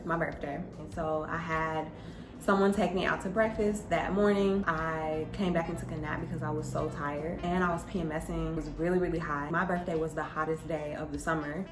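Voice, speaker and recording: A woman's voice: deep voice, steady tone, brisk, roomy sound, music in the background. A young adult woman vlogger telling a personal story in a casual, conversational way, with emphasis when she quotes exchanges.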